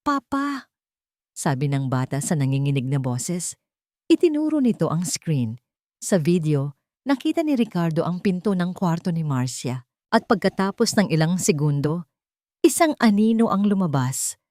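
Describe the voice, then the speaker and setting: A woman reads a scripted dramatic story. Her voice is slightly low and moves widely in pitch as she narrates. The recording is very dry, with no room sound. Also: big pitch swings, moderate pace, dark tone, dry recording.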